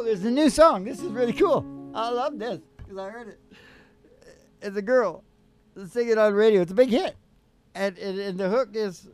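A man's voice: sits high, darkish tone, clear, resonant tone. A middle-aged man in casual, rambling, jokey banter, talking into a stage microphone as a podcast host and musician. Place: small indoor stage with curtain. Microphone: stage microphone on a stand.

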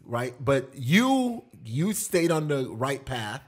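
A middle-aged man casually telling animated stories as a podcast guest, in a small studio on a boom mic. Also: slow, breathy edge. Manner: animated, gestures a lot while telling prison stories. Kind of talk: casual storytelling. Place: small studio with foam panels. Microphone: boom mic on table.

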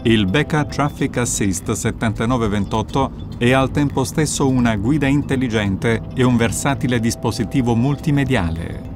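Mid-pitched male promo narrator over music. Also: normal speed, hardly any pauses.

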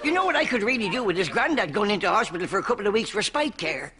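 A man's voice: high voice, very animated, rapid-fire, over a noisy crowd. A man playing a foul-mouthed, scheming older Irish mammy in a sitcom, with a brash, put-upon manner and an Irish accent. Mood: pleading, scheming. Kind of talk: broad sitcom acting in drag. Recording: noisy studio sitcom sound.

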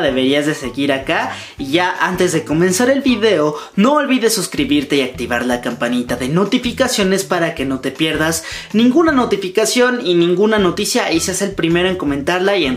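A man in his twenties hosting a fan news vlog, speaking emphatically and directly to his viewers from a room at home. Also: a little high. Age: 20s. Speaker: YouTube host. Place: small room at home, indoors. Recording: music behind the voice. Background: background music.

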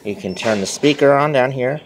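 A man explaining something step by step in plain, halting, repetitive short phrases, like a simple tutorial. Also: mid-range voice. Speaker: tutorial presenter. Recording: noisy handheld phone recording with clicks.

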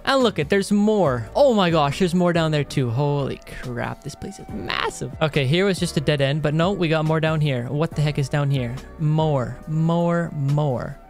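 Chatty, excitable young man gaming. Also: fairly high pitch, moderate pace, few pauses, faint music behind. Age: young adult. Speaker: gaming video host. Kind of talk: casual let's-play commentary. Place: indoor room at a desk. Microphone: close dynamic studio microphone.

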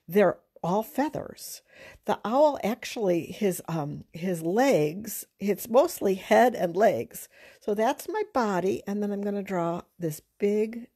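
A woman art teacher with a fairly low, soft-edged mellow voice talks through a drawing demonstration. She sounds informal and unhurried, pausing to think aloud, with some creak in her speech. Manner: halting, unhurried, conversational. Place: indoors at a drawing table.

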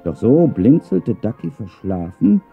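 A man's voice: mid-range voice, very animated, a little slow, vocal fry. A man narrating a children's audio drama expressively from a script. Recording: narrow phone-like sound, faint music.

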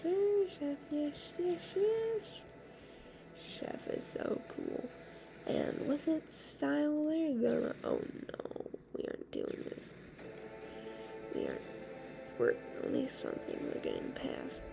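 Raspy, slow-talking woman with a gravelly voice. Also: fairly high pitch. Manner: rambling, chatty, full of fillers. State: hoarse. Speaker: gaming channel host. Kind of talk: casual gameplay commentary.

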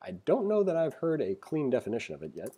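A man giving a casual tutorial as an instructor, reading aloud and commenting informally as he thinks out loud. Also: medium pitch, wildly swooping pitch, fast talker, husky. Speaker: programming instructor. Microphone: screen-recording voice-over.

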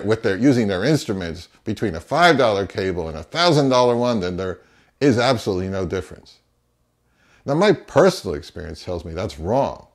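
Middle-aged man, very lively, swinging intonation. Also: fairly low pitch. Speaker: audio review channel host. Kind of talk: opinionated talk to camera. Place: small home office, indoors.

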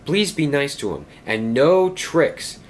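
A man's voice: mid-range voice, very animated, a little fast, slight background noise, voice pushed up, lightly acted. A man voicing a cheerful, friendly male engine who talks in an upbeat way.

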